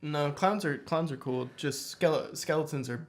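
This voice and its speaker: High-pitched man, deadpan podcast co-host. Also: normal speed. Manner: deadpan joking. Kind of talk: casual podcast banter.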